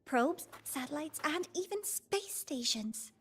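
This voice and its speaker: A woman playing an excitable young female researcher in a video game, shy and nerdy, given to eager rambling and flustered apologies. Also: sits high, takes it slowly, full character voice. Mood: excited. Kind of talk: anime-style video game acting.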